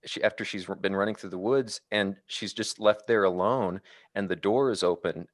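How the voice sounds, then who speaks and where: A man talking casually about films as a podcast panelist, in a halting, rambling way. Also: fairly low pitch. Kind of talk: casual film discussion.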